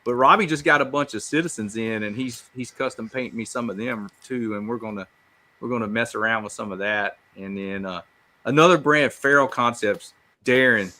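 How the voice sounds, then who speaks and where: A man's voice: mid-range voice, fairly quick, bright, forceful tone. A man chatting casually as a podcast panelist, in a folksy, informal, rambling way. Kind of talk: casual chat.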